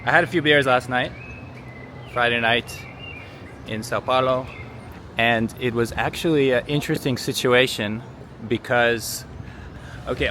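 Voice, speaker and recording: A man's voice: mid-range voice, fairly bright tone, a little hiss or hum. A man from Canada talking casually and cheerfully to the camera as a travel vlogger, laughing often. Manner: chatty and upbeat, laughs often. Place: outdoors on a city street.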